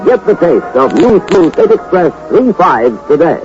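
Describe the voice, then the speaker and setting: A man reads a sponsor's ad in an emphatic, salesy way, his pitch swinging up and down. The sound is dull and lo-fi, with loud music under it, like an old radio broadcast. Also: sing-song, a little slow. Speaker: announcer. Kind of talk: old radio announcing and ad read.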